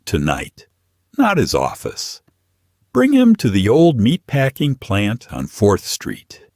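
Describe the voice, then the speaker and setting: A man playing a cold mafia boss in an audio story, low-voiced, terse and commanding with controlled menace. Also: wildly swooping pitch, slow pace, a little mellow, dry recording, clean studio sound, full character voice.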